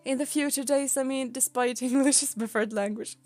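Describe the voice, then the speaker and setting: A woman's voice: sits high, fairly even intonation, brisk, a little mellow, faint music behind. A woman with a Swedish accent, a native Swedish speaker, chatting casually on a stream, rambling and giggling as she talks. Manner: rambling and giggly, often laughing mid-sentence. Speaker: VTuber streamer.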